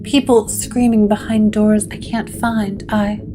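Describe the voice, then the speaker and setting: A woman voicing a frightened, hesitant young woman in a gothic audio drama, halting and anxious, with music behind. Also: mid-range voice, little pitch movement, lightly acted.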